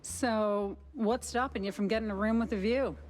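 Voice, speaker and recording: A woman's voice: a little high, steady tone, normal speed, darkish tone, a little hiss or hum, natural voice, low-quality recording. An actress playing a wry, teasing woman who needles a detective in a noir cartoon game.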